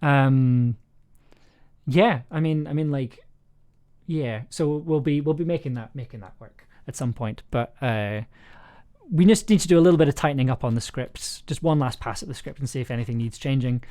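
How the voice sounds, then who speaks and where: A man with a mid-pitched voice chats casually as the host of a livestream. He is effusive and enthusiastic, and he rambles from one thought to the next. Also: moderate pace, warm tone. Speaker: host, audio drama writer and producer. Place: remote online call on a livestream.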